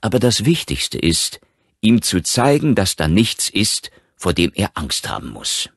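A man playing an impatient, authoritative doctor who speaks briskly in an audiobook. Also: sits low, big pitch swings, slightly rough, no echo, clean studio sound, voice pushed up, full character voice. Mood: firm. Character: confident, impatient male small-town doctor.

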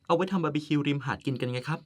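A man acting as a devoted, nagging partner in an audio drama. He talks quickly in a slightly high, husky voice, polite but always teasing. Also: a little mellow, lightly acted. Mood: chatty. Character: caring, nagging, playful male partner of an older man.

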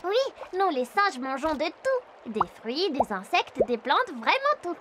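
A cheerful little female snow monkey from a cartoon, high-pitched and bouncing all over her range. She explains things in a friendly, patient way. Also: very animated, a little slow, heavy background noise, full character voice. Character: cheerful female Japanese macaque. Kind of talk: animated cartoon dialogue.

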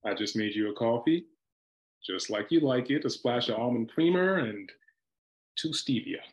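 Male actor as husband in his forties, animated intonation, hesitant, playfully deflecting, table-read drama. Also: mid-range voice, normal speed, highs cut off, close to an everyday voice. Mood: evasive, upbeat. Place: indoor room on a video call. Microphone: video call microphone.

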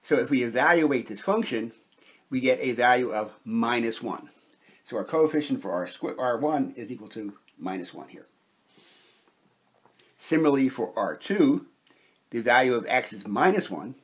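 Slightly husky man teaching step by step. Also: mid-range voice, lively intonation. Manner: measured, methodical, explanatory. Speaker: chemistry instructor. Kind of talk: step-by-step whiteboard lecture.

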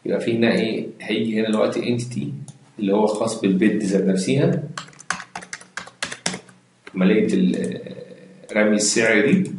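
Egyptian Arabic male lecturer, quick delivery, slightly low voice, explains step by step and quizzes the viewer, very echoey room. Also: a little hiss or hum. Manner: explains step by step, mixing English terms, asks rhetorical questions. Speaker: computer science instructor. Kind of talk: screen-recorded lecture.

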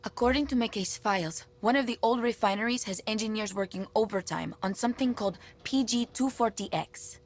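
A woman's voice: a little high, fairly quick, hardly any pauses, close to an everyday voice. A woman playing a decisive rebel leader. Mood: commanding. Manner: decisive, commanding. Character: commanding female rebel leader. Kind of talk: video game voice acting.

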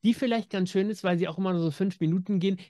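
A man's voice: high-pitched, very fast, dark tone, no echo, studio quality. A man in his forties co-hosting a casual chat, presenting new releases. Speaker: co-host of an audio drama news show. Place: home room with packed shelves, on a video call.

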